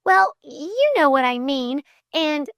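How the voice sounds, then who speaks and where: A woman's voice: very high voice, slow, gravelly, a bit bright, no room sound, studio quality, voice pushed up, full character voice. A woman voicing an earnest, flustered young girl who talks formally and hesitantly in an audiobook story. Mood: flustered, protesting.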